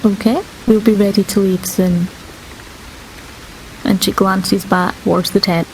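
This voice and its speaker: A woman's voice: mid-range voice, fairly even intonation, measured pace, darkish tone, poor audio. A woman playing a tabletop roleplaying game, speaking for her character and narrating her actions in third person. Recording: faint rain ambience noise.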